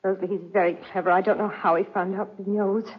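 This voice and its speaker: An actress playing an anxious, secretive wife in a 1940s radio melodrama, in a breathless, hushed manner. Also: female voice, medium pitch, little pitch movement, quick pace, breathy edge, close to an everyday voice. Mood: urgent, fearful. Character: anxious, secretive wife deceiving her dangerous husband. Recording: dull, muffled 1940s radio recording.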